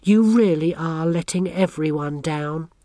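A woman's voice: deep voice, a little slow, dark tone, no room sound, clean studio sound, pitched above the performer's own voice. A woman voicing a haughty, bossy teenage girl witch who talks down to everyone in a sneering, imperious way.

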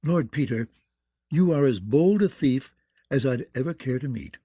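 A man's voice: medium pitch, expressive, moderate pace, warm tone, slightly rough, dry recording. Man playing haughty noblewoman, frank, formal, pointed, fantasy audiobook, dry close sound. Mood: wryly amused. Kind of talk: fantasy audiobook character acting.

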